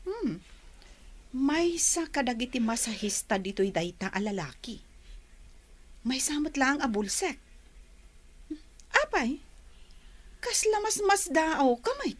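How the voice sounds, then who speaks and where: A woman plays a playful, teasing young best friend in an audio drama. She speaks slowly in a very high, swooping voice over soft music. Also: sing-song, exaggerated voice. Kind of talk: Ilocano audio drama dialogue.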